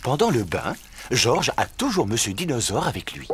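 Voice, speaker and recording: A man's voice: medium pitch, very animated, moderate pace, very noisy, close to an everyday voice. A man narrating a children's cartoon in a simple, storybook-like explaining manner. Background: music.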